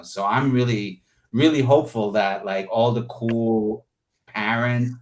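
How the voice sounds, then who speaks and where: A man talking casually as an interview guest, thinking aloud in long, rambling asides. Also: lowish voice.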